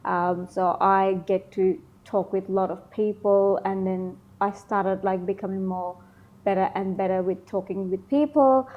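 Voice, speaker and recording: A woman from Sri Lanka, in her thirties, answering interview questions casually, often pausing with ums and likes. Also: medium pitch, steady tone, phone-quality sound. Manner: hesitant, many ums and likes. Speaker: interview guest, beauty business founder.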